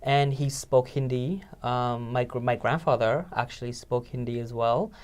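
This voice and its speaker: Man guest on a talk show, earnest and reflective, lots of ums and tag questions, middle pitch. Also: fairly even intonation, moderate pace, clean tone. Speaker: interview guest. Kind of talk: casual interview chat.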